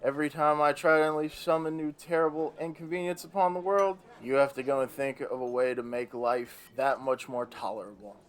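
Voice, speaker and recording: A man's voice: medium pitch, fairly even intonation, fairly quick, few pauses, natural voice. A man playing a bitter, weary old Devil in a radio play, grumbling in a theatrical, sardonic way. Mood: exasperated.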